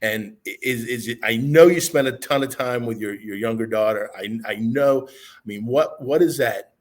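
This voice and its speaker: A man with a raspy voice hosting a podcast interview, encouraging and motivational, rambling a little as he asks his questions. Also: medium pitch, normal speed. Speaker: podcast host.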